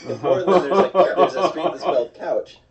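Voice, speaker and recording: A man's voice: fairly high pitch, expressive, brisk, recorded from a distance, lo-fi sound, crowd noise. A man in his forties, a touring comedian, bantering on a podcast in a wry, self-mocking way and laughing easily. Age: 40s.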